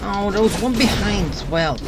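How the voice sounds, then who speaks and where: A woman's voice: mid-range voice, expressive, moderate pace. A woman gamer giving casual commentary while playing a video game. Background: game music and sound effects.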